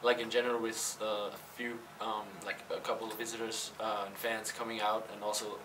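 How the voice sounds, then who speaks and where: A man's voice: on the low side, monotone, a little fast. A young male college tennis player answering post-game questions, hesitant, with many fillers and restarts. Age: young adult. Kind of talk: post-game press interview. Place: press backdrop room. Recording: slightly distant, faint room noise.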